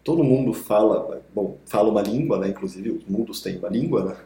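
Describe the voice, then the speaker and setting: A man who talks reflectively, building his points from everyday examples in a relaxed discussion. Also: lowish voice, a little fast, slight background noise. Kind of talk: conversational podcast talk. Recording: roomy echo.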